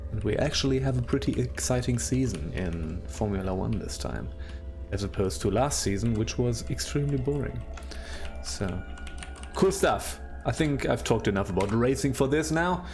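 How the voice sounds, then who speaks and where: A man with a German accent chats his way through a gameplay session at a middle pitch. He rambles loosely from topic to topic, laughs at himself, and often restarts his sentences. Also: expressive, normal speed, a little mellow, faint music behind. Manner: rambling, chatty, self-deprecating, with frequent laughs and restarts. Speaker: let's play host. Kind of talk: casual gameplay commentary.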